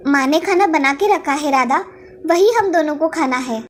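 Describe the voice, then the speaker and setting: A woman's voice: high-pitched, moderate pace, lightly acted. A woman voicing a meek, hurt young stepsister girl in a submissive, pleading way for a cartoon moral story. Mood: meek, gentle. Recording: background music under the voice.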